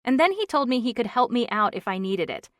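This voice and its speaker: A woman's voice: fairly high pitch, very animated, fairly quick, fairly bright tone, dry recording, pitched above the performer's own voice. A woman voicing a frustrated woman in her thirties, wry and exasperated, in a dry, close reading. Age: 30s.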